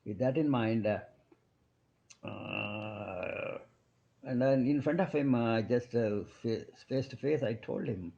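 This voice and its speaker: An older man from India with an Indian accent, a doctor whose first language is Kannada, telling his life story in a halting, digressive way. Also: mid-range voice, gravelly, dark tone. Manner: halting, digressive, many restarts. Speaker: medical doctor guest. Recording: dull lo-fi recording.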